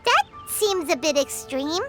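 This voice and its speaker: A woman voicing a small, childlike fairy companion in a video game, curious, excitable and exclamatory. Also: sits high, measured pace, over loud music. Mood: puzzled. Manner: refers to self in third person, exclamatory.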